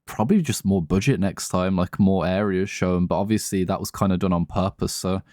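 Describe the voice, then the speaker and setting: A man's voice: deep voice, fairly quick, a little mellow, no echo, clean studio sound. A man co-hosting a podcast in casual, slangy, sweary conversation about films. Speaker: podcast co-host. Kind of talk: casual chat, film discussion.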